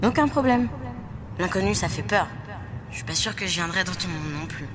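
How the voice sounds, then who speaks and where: Woman as curious otherworldly stargazer, lowish voice. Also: lively intonation, brisk, background noise, natural voice. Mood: warm, reassuring. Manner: naive questioning, easygoing, reassuring. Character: Lomé, friendly curious stargazer from another world. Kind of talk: fiction podcast acting, informal dialogue.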